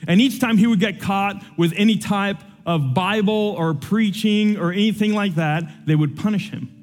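Young man preacher, earnest, emotional, conversational; slightly higher voice. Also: moderate pace, big-room sound, faint music behind. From Cuba. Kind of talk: sermon with personal testimony.

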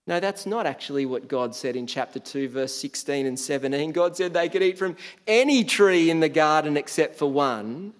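A man's voice: on the high side, moderate pace, hardly any pauses, in a large hall. A man from Australia, preaching a sermon in a conversational way, with frequent fillers and restarts.

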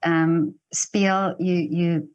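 Afrikaans-woman interviewer, somewhat low voice, supportive and conversational. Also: fairly even intonation, extremely slow, clean tone, dull audio. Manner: warm, encouraging, frequent backchannels.

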